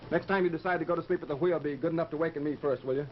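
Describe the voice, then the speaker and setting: A man's voice: a little high, racing, natural voice. An actor playing a cautious, fussy, sardonic man with a dry, chiding manner in an old-time radio drama. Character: cautious, fussy, sardonic male travel companion. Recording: muffled, faintly noisy old radio recording.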